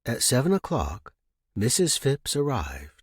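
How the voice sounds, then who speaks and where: 声音略带沙哑的男性有声书旁白. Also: male voice, mid-range voice, wildly swooping pitch, unhurried, husky, no echo, studio quality. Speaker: audiobook narrator. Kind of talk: scripted fiction narration.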